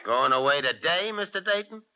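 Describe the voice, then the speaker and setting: Tough, forceful baseball manager. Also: male voice, a little high, expressive, brisk. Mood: dryly sardonic. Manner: clipped, dry, terse. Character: tough, sardonic fighting baseball team manager. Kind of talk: 1940s radio acting. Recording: muffled 1940s radio recording.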